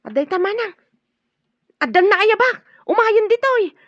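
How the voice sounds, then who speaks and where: A woman's voice: very high voice, animated, normal speed, dry recording. A woman playing a concerned, helpful relative in an Ilocano radio drama, speaking earnestly and deferentially. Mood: urgent. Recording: dull lo-fi audio with music.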